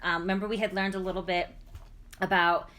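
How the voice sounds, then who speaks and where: A woman's voice: mid-range voice, flat delivery, brisk, fairly bright tone. A woman with an American accent hosting a casual educational discussion, encouraging others and prompting them with questions. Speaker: podcast host.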